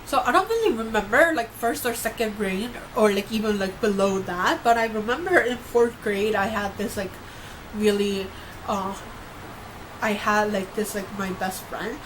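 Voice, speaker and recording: A woman's voice: medium pitch, moderate pace, a bit bright. A young woman who grew up in Korea and New York, chatting casually to camera in a vlog, with lots of fillers and restarts. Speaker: YouTuber. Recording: noisy home recording.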